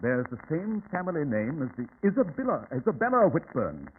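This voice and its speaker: An actor playing a shrewd, composed British detective in an old-time radio drama, speaking with precise, formal authority. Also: male voice, a little high, big pitch swings, a little fast. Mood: measured, analytical. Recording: tinny, band-limited old radio recording with hiss.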